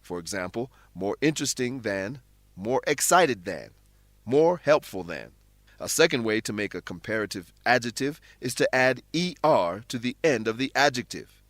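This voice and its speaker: A man's voice: mid-range voice, sing-song, fairly bright tone, dry recording. Dry-sounding man teaching English. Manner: deliberate, explanatory. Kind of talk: scripted English lesson narration.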